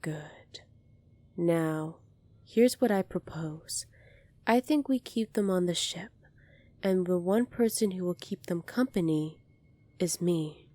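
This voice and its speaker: A woman playing a poised, kindly young alien princess in an ASMR roleplay, polite, formal and measured, soft-spoken with breathy moments. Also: lowish voice, dry recording. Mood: firm, composed.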